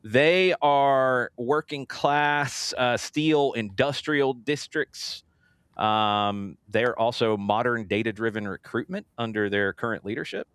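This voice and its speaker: A man's voice: medium pitch, slow, a bit bright, clean tone, dry recording. A man hosting a sports show, talking casually through soccer match previews and steering the discussion.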